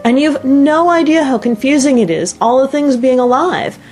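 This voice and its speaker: A woman's voice: sits high, normal speed, a little mellow, faint music behind, pitched above the performer's own voice, lightly acted. A woman voicing a curious, plucky young girl in a storybook, earnest and a little indignant. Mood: complaining. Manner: earnest, complaining.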